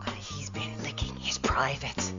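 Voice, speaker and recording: A woman's voice: extremely low pitch, wildly swooping pitch, unhurried, in a whisper, full character voice. An actress playing a doting, flustered cat lady who gushes in baby talk and speaks in a ladylike way. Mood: anxious, doting. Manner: gushing baby talk to pets, hesitant. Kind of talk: acted video game cutscene. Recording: muffled game audio with music behind.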